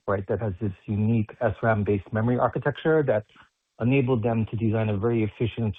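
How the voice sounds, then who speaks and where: A man's voice: lowish voice, breathy edge, no room sound. A man speaking as a financial analyst, putting a detailed, prepared question on an earnings call and checking in as he builds it up. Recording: dry, narrow phone line.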